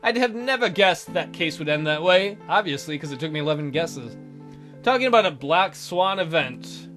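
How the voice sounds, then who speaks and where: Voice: mid-range voice, expressive, moderate pace, fairly bright tone. A gamer giving casual let's play commentary and reading game text aloud. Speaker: gaming video host. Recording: music behind.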